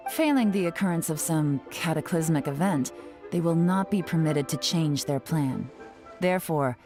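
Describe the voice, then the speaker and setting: A woman voicing a cool, composed assessment officer in a video game, speaking in a calm, measured, businesslike way. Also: on the low side, lightly acted.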